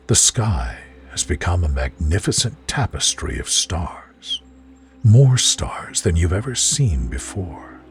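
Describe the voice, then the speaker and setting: A man reading a scripted guided sleep visualization in a soothing, gentle, measured way, as a narrator of bedtime relaxation stories. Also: sits low. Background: music behind the voice.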